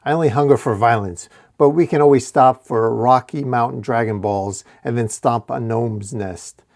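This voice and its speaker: A man's voice: mid-range voice, moderate pace, a little mellow, lightly acted. A man performs a living magic shield in a comic fantasy story. It is boastful and bombastic, cheerfully hungry for violence, and delivers its threats with swagger. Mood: cheerfully bloodthirsty.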